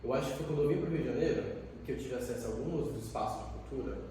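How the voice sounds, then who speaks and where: A man's voice: mid-range voice, rapid-fire, mellow, slight background noise. A man in his twenties, an educator, talking earnestly and reflectively in a casual conversation. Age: 20s. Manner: reflective, earnest, many fillers. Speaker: youth educator. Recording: echoey room, distant mic.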